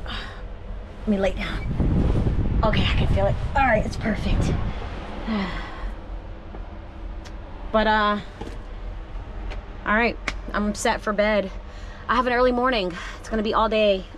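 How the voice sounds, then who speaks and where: A woman's voice: mid-range voice, unhurried, pauses often. Middle-aged woman, vlogger, chatty and easygoing, cramped van interior, faint background hum. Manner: relaxed, upbeat, chatty with many fillers. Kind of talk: casual vlog talk to camera. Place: inside a small camper van at night. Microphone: camera close up in the van.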